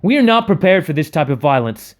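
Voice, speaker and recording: A man's voice: on the high side, very animated, brisk. A man playing an intense, angry heist leader in an amateur audio drama, commanding and confrontational. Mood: angry, demanding.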